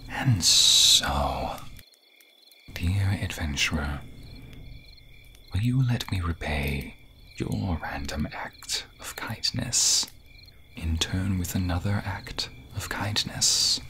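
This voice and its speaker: Casting: gentle, grateful snake-man played by a man for ASMR fantasy roleplay; crisp, sibilant-bright whisper, extremely slow formal delivery. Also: sits low, lively intonation, full character voice. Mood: earnest, encouraging. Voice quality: breathy whisper. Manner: slow, hushed, formal archaic phrasing. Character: gentle male naga, grateful nocturnal snake-man guardian.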